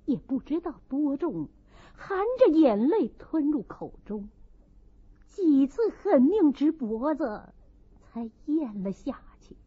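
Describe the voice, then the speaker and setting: A woman's voice: high voice, big pitch swings, takes it slowly, narrow, tinny audio. A woman narrating a classic novel as a dramatic audiobook.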